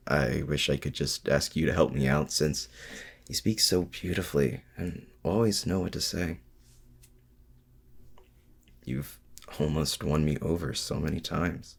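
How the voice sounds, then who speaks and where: A man's voice: a bass voice, gravelly, heavily acted. A man acting in an intimate audio roleplay as a lonely, awkward supervillain and mad scientist, speaking in a hesitant, sincere way. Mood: earnest, wry. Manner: hesitant, halting, softly sincere.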